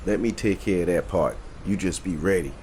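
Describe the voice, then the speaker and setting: A man's voice: deep voice, brisk, a little mellow, a little hiss or hum, natural voice. A man playing a confident, street-smart neighborhood guy planning a job in an audio drama, talking in laid-back slang. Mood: confident, scheming. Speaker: storytime host and character actor.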